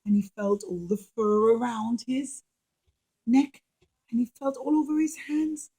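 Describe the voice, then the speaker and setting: A woman's voice: fairly high pitch, measured pace, creaky, dark tone. A woman telling a story to young children as a puppeteer, explaining and repeating, often checking understanding with little questions.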